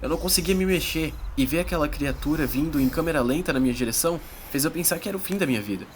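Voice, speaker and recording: A man's voice: fairly high pitch, lively intonation, rapid-fire, a little hiss or hum, lightly acted. A man playing an earnest young adventurer, narrating his perils in a dramatic, suspenseful first-person audiobook style. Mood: tense, awed. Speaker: narrator and protagonist. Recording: loud music bed under voice. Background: music.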